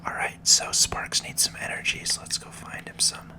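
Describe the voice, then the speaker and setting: Whispered ASMR gaming host. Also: normal speed. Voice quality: breathy unvoiced whisper. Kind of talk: whispered ASMR game commentary.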